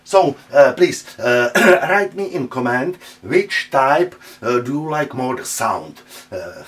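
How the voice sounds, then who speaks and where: A man with a Czech accent hosting his own channel, talking casually in halting English as he shows vintage speakers on a table, often stopping with "uh" to find his words. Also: medium pitch, sing-song, music in the background. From Czech Republic. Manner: halting, searching for English words, many "uh" fillers. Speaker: channel host. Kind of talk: casual hands-on speaker demo.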